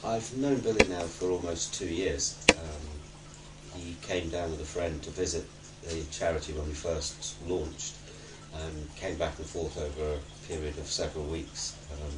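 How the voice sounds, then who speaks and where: Casting: man, extremely low voice, even intonation, halting interviewee speaking in measured phrases. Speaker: charity worker in an LGBT documentary interview. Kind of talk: documentary interview talk. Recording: roomy echo, distant mic, clear background noise.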